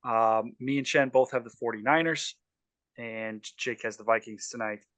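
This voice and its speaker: A man's voice: medium pitch, fairly even intonation, normal speed, a bit bright, no echo. A man hosting a casual sports podcast, talking loosely with lots of ums and restarts. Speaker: podcast host.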